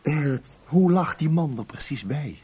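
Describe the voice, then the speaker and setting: An actor playing a courteous, quietly sly government investigator in a radio play, with a husky voice and a hesitant, musing way of questioning. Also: male voice, a little high, expressive, unhurried, a little hiss or hum. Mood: probing. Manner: hesitant, polite, musing, repeats himself. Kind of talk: Dutch radio drama acting.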